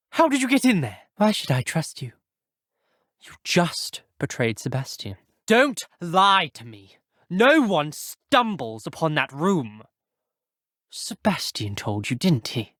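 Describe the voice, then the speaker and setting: A man's voice: high-pitched, a bit bright, no room sound, clean studio sound, exaggerated voice. A young man with a British accent voicing an earnest, guarded teenage wizard schoolboy in a video game, speaking in clipped, formal phrases. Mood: alarmed, angry. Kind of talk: video game character dialogue.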